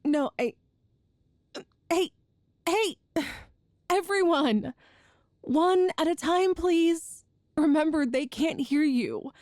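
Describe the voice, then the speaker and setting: A woman's voice: high voice, lively intonation, slow pace, long pauses, no room sound, studio quality. A woman playing an affectionate, playful girlfriend from a ghost family in an ASMR audio roleplay, chatty and tender. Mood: flustered, exasperated. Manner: chatty and tender, with soft laughs and sighs.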